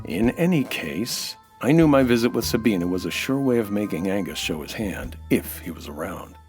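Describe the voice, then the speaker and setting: An actor playing a wry, hardboiled American nightclub owner, dry and wisecracking, in the manner of old radio noir. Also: male voice, lowish voice, lively intonation, normal speed, darkish tone, soft background music, lightly acted. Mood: dry, probing. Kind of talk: old-time radio noir narration and dialogue.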